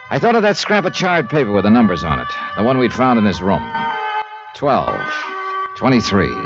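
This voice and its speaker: A man playing a world-weary, dogged private investigator in a 1950s radio drama, with clipped, wry delivery and dramatic pauses. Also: fairly low pitch. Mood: bitter, brooding. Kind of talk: 1950s radio drama acting and hardboiled narration. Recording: dull 1950s radio recording. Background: music.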